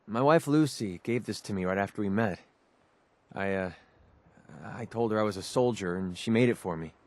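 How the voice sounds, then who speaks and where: Video game companion: male actor as grieving American gun-for-hire, sincere, hesitant, on the low side, dry studio sound. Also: moderate pace, dry recording, lightly acted. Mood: regretful, grieving. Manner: earnest, hesitant, confiding. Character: weary, sincere young mercenary and grieving widower. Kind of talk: video game dialogue acting.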